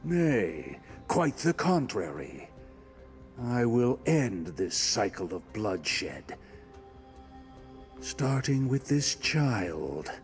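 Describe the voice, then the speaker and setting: A man's voice: fairly low pitch, big pitch swings, extremely slow, soft background music, heavily acted. An actor playing a grim, resolute warrior king in a video game drama, speaking with solemn, declamatory weight. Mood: grimly resolved.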